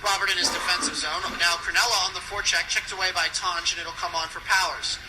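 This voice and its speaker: A man calling live ice hockey play-by-play on the radio with urgent, excitable delivery. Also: high-pitched, fast. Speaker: play-by-play announcer. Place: ice hockey rink broadcast position. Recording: noisy arena radio broadcast.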